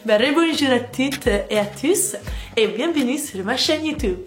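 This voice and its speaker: A woman's voice: sits high, animated, measured pace, faint music behind. A young woman in her twenties from Italy, speaking with an Italian accent and chatting casually as a vlogger in a language that is not her first, with many fillers. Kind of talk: casual vlog chat.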